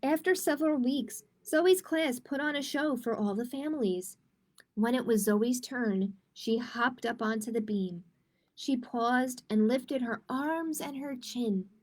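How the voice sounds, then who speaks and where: A woman's voice: on the high side, measured pace, darkish tone. A woman hosting a library storytime, reading picture books aloud to children and explaining simply and encouragingly.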